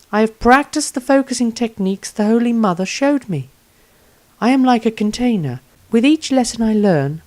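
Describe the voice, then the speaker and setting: A woman voicing an earnest, devout priestess adventurer in a fantasy audiobook, with measured delivery. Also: a little high, a little mellow. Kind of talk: fantasy audiobook character reading.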